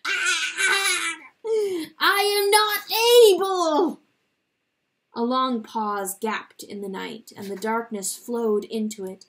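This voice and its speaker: A woman narrates a literary animal tale in a dramatic, solemn style. Her pace is very slow, with measured and deliberate phrasing. Her pitch sits in an ordinary middle range. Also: low-quality recording. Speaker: audiobook reader.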